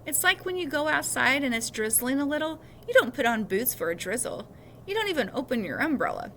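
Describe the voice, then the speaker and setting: Female reader, bright and slightly high, for children's fiction read aloud; faint noise behind. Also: big pitch swings, brisk.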